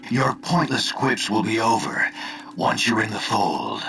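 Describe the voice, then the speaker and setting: An actor playing a smug, menacing mind-controlled villain in a video game, gravelly and taunting. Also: male voice, on the low side, animated, measured pace, loud music behind the voice. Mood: menacing, impatient. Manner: taunting, sardonic. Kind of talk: video game cutscene acting.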